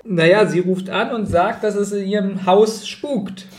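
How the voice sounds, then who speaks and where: A roomy, echoing recording carries a man's slightly high voice. He talks casually as a podcast co-host, discussing an audio drama episode. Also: a little slow, a little mellow. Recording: roomy, echoing room sound.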